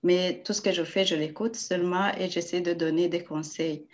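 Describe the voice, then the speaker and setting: A woman's voice: on the low side, steady tone, moderate pace, dull audio. A woman sharing a personal story in a forum, speaking earnestly and restarting her sentences. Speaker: forum participant. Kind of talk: personal testimony.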